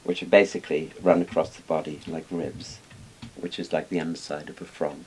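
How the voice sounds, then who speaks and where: Older male expert from Wales, low-pitched, informal explainer, hissy room recording. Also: little pitch movement, brisk, a little mellow, low-quality recording. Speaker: interviewed expert on Chinese dragons. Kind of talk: casual explanatory talk. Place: small book-lined room indoors. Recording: hissy background noise.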